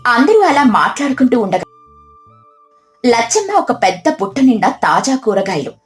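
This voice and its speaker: Female storyteller for scripted moral tales, higher-pitched, light music bed. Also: big pitch swings, moderate pace. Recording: music behind the voice.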